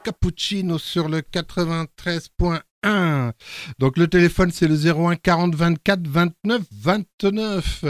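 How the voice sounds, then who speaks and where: Higher-voiced male radio host. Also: no echo, studio quality. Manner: halting, many fillers. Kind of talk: radio interview. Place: radio studio.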